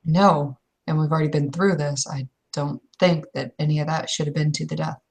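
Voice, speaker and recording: A woman playing a stubborn member of a werewolf clan in improvised roleplay, pushing back in a halting, contrary way. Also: deep voice, fairly even intonation, creaky, a little mellow, breathy edge, full character voice. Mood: worried, firm. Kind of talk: improvised in-character roleplay dialogue.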